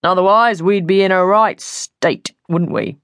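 A woman's voice: on the low side, measured pace, a bit bright, dry recording, studio quality. A woman voicing an officious, stuck-up man in his thirties who talks as if he wants to be posh, in an audiobook. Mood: self-important. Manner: pompous, talking as if trying to sound posh. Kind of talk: audiobook character dialogue.

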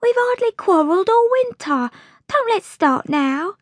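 A woman's voice: very high voice, sing-song, unhurried, no room sound, pitched above the performer's own voice, heavily acted. A woman voicing a timid, anxious young scullery maid with a cautious, plaintive manner in a storybook. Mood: distressed.

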